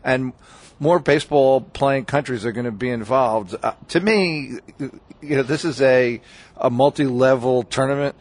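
A man's voice: mid-range voice, measured pace. A man speaking in an ordinary middle register, guesting on a radio talk show. He talks loosely and at length, often restarting his sentences and filling the gaps with "uh" and "you know.". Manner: rambling, many restarts and fillers. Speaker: baseball writer, guest. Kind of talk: casual radio interview chat.

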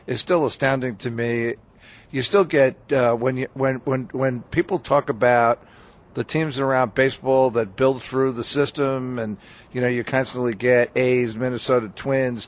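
A man speaking in an ordinary middle register, guesting on a radio talk show. He talks loosely and at length, often restarting his sentences and filling the gaps with "uh" and "you know.". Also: medium pitch. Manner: rambling, many restarts and fillers. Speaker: baseball writer, guest. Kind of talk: casual radio interview chat.

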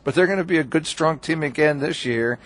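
A man's voice: a little high, a little fast, a bit bright. A man, a baseball writer, chatting as a guest on a radio show, rambling with many restarts and fillers. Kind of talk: casual radio interview chat.

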